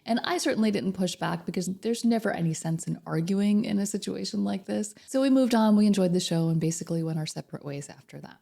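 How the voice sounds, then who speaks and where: A woman coach with a slightly hoarse voice from a cold, chatting directly to viewers about relationships and asking them questions. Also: mid-range voice, dark tone. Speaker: narcissistic abuse recovery coach. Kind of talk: casual direct-to-camera advice talk.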